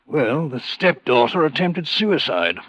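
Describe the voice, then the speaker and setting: Probing male inspector, stiffly polite, animated pitch, old radio drama, dull dry sound. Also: a little slow, husky, no room sound, heavily acted. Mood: brisk, concerned. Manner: formal, polite but pressing. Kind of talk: 1940s-50s radio drama acting. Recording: dull vintage radio recording.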